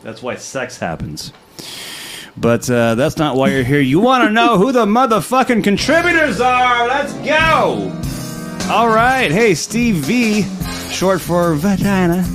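A man co-hosting a casual comedy podcast, crude and sarcastic. He talks slowly, but his pitch leaps around between tired low mutters and loud outbursts. Faint music plays under the recording. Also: very animated. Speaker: podcast co-host.

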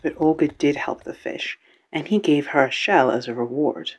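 A man's voice: on the high side, moderate pace, breathy edge, poor audio. A man narrating a children's radio play as a scripted storybook tale.

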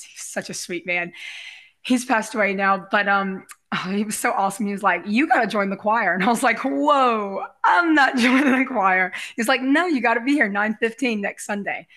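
A woman guest in a casual interview with a raspy, very bright voice. She talks effusively and loosely, rambling from one thought to the next in a giggly manner. Her pitch sits in the middle range for a woman. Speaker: guest, realtor and musician.

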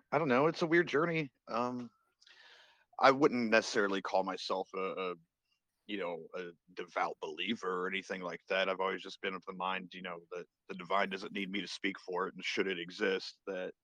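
A man with a very low voice speaks quietly and hesitantly, pausing to reflect as a guest in a casual chat. The sound is dry and close. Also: fairly even intonation, no echo. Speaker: podcast guest. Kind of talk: casual conversation.

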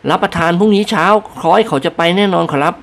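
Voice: medium pitch, fast, gravelly, lightly acted. A reader voicing a humble, deferential male servant answering his masters politely in an audiobook.

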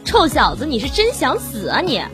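A feisty young tavern keeper, played by a woman in an audio drama. Her high voice moves up and down in lively swings, brash and scolding with a teasing edge. Soft music sits behind her. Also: moderate pace. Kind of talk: Chinese audio drama acting.

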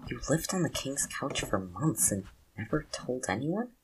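A woman playing a confident, nosy young roommate in an audio drama, talking in a playful, probing, expressive way. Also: rumbling low, normal speed, a little hiss or hum, poor audio. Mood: probing, exasperated. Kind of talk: casual audio drama dialogue.

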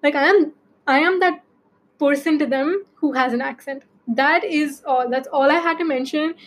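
A woman's voice: sits high, moderate pace. A young woman from India with an Indian accent, hosting a casual solo podcast and talking in a rambling way with lots of fillers. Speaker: podcast host.

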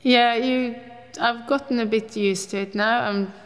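A woman's voice: on the high side, fairly even intonation, unhurried. A woman in her fifties from Norway, speaking with a Norwegian accent in a casual interview and telling stories in a chatty, rambling way. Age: 50s. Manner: chatty, rambling with restarts and laughs.

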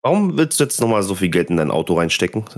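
Stuffy-nosed man with a lively low voice. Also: fast talker. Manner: teasing, skeptical, pushes back. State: stuffy nose from a cold. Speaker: podcast co-host. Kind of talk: casual chat.

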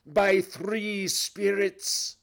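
Storybook phantom: anguished, remorseful, declamatory, pitched high with long drawn-out cries. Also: male voice, fairly even intonation, a bit bright, heavily acted. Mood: solemn foreboding. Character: tormented male ghost, wailing, preachy, remorseful old business partner. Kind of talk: dramatised reading of a classic ghost story.

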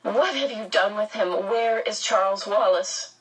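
A woman's voice: mid-range voice, unhurried, husky, distant mic, voice pushed up. A woman voicing a hysterical, defiant young girl in an urgent, demanding manner for an audiobook. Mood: demanding, distraught.

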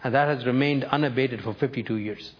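A man's voice: mid-range voice, lo-fi sound. Older Indian-born man preaching. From North India. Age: 70s. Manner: personal storytelling, measured with emphatic lifts. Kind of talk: sermon.

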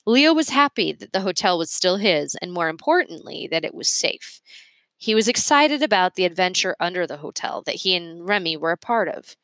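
A woman's voice: lowish voice, very animated, moderate pace, a bit bright, no echo. A woman reading a children's story aloud as a narrator. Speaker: storybook reader.

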